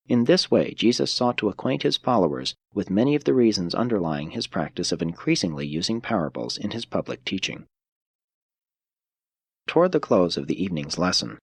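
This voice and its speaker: Guy narrating an audiobook, formal and measured, kinda husky voice, super dry close sound. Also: low voice, no echo. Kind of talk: scripted narration, religious audiobook reading.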